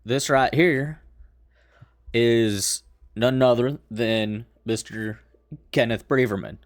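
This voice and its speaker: A man playing a tabletop roleplaying game, improvising his character's lines in a measured, deliberate way, in short phrases. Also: mid-range voice, no echo, clean studio sound.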